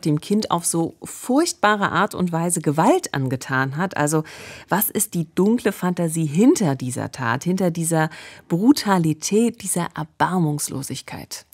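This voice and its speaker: Woman in her 40s with a low voice telling crime stories on a podcast, close studio mic. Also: a little mellow. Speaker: podcast host. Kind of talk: true-crime podcast narration. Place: small radio studio. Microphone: close studio microphone with pop filter.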